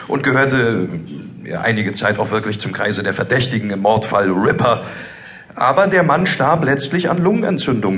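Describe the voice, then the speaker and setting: Know-it-all young student hacker. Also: male voice, medium pitch, a bit bright. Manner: hesitant starts, then emphatic explaining. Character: male Berlin student hacker in his early twenties, know-it-all. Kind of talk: audio drama dialogue.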